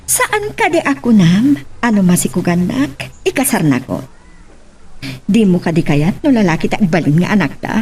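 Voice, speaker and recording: A woman playing an emotional wife in an Ilocano radio love drama, with an expressive, melodramatic delivery. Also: medium pitch, normal speed, slight background noise, natural voice. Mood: earnest, pleading.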